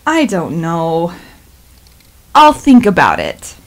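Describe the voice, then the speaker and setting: A woman's voice: on the low side, huge pitch swings, takes it slowly, full character voice. An actress playing a polite, unmarried friend in a radio play, hesitant and evasive in her answers. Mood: flustered. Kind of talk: stage-style radio play acting.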